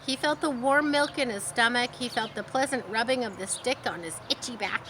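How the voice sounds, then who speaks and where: A woman reading a children's story in an expressive storytelling style, with informal asides. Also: fairly high pitch, fairly quick. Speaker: storybook reader. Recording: faint noise with birdsong behind. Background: birdsong.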